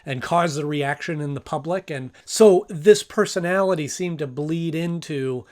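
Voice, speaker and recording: A man's voice: on the high side, moderate pace, a little mellow. A man hosting a casual review, commenting in a conversational, thinking-aloud way with emphasis. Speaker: host, cartoon reviewer.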